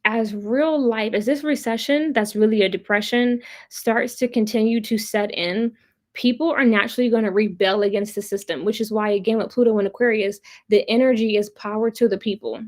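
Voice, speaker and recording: Blunt, high-voiced woman commentator. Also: steady tone, brisk, darkish tone. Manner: blunt, opinionated, profane, emphatic repetition. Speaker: host of a pop culture commentary livestream. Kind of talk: casual livestream commentary, chatting with viewers. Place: live online stream.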